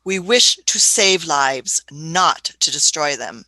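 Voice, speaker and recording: A woman playing a brisk, authoritative, eccentric doctor in a radio play, fussy and given to clipped repetitions. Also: sits low, animated, bright, forceful tone, no echo, heavily acted. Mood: firm. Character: brisk, authoritative, kindly but impatient eccentric time-travelling doctor.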